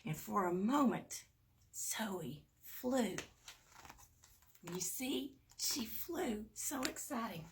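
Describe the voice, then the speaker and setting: A woman reading a children's bedtime story aloud, with expressive emphasis and little asides to young listeners. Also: a little high, drawn-out words. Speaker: storybook reader at a performing arts school.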